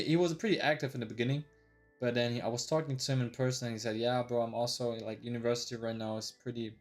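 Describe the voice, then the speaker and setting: A man in his early twenties talking casually on a live stream, slangy and rambling, with plenty of swearing and "bro". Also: mid-range voice. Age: 20s. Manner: slangy, swears often, says "bro" a lot; rambling with restarts, replays his past thoughts aloud. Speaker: day trader live-streaming.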